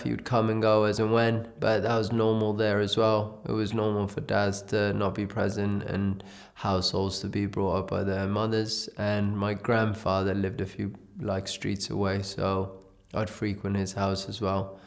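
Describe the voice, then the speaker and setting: A man's voice: fairly low pitch, gravelly, darkish tone. A man from Ethiopia giving a reflective personal testimony in an interview, telling his life story in a loose, conversational way.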